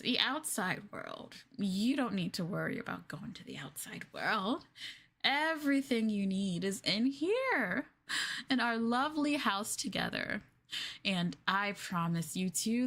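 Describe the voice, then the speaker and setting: A woman voicing a sweetly possessive, obsessive young girlfriend who holds her lover captive, in a soft, cooing, hesitant ASMR roleplay delivery. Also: a little high, big pitch swings, measured pace, full character voice. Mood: dreamy, adoring. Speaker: streamer roleplaying for viewers.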